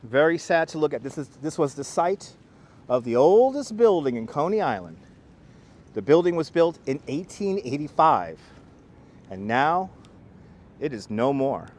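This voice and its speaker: A man with a Brooklyn New York accent, chatting casually to live-stream viewers as a local guide, speaking clearly and deliberately. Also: fairly high pitch, big pitch swings, a little mellow. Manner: clear, deliberate enunciation, rambling. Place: outdoors on city streets by the seaside. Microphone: wireless clip-on mic. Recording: outdoor urban street noise.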